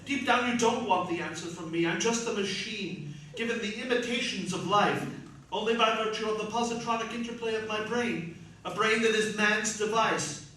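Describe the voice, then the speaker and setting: A man's voice: sits high, moderate pace, sharp tone. A man acting as an obedient, anguished mind-reading robot in a radio drama, polite and formal in manner. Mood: anguished, pleading. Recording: echoey, distant, faint noise.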